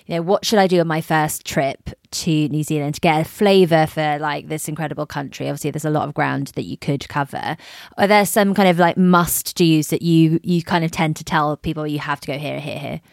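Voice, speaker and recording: A woman hosts an interview and asks curious, halting questions with plenty of fillers. Her voice is fairly low. The sound is dry and close. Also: vocal fry, no room sound. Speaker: podcast host. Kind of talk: casual interview.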